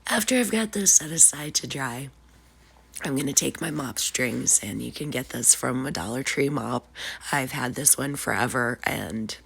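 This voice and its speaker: A woman's voice: a bass voice, animated, normal speed, creaky, a bit bright, slightly rough. A woman with a cold, sounding sniffly, casually explaining a DIY craft step by step as host of her channel. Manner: casual, chatty, with frequent "uh" and "um". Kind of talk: DIY craft tutorial narration.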